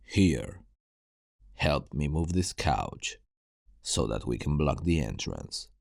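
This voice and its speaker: Man acting a very deep, gravelly apocalypse survivor. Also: big pitch swings, slow pace, darkish tone, exaggerated voice. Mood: tense, urgent. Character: gruff, world-weary male zombie apocalypse survivor, guarded and urgent. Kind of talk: ASMR roleplay acting, addressing the listener.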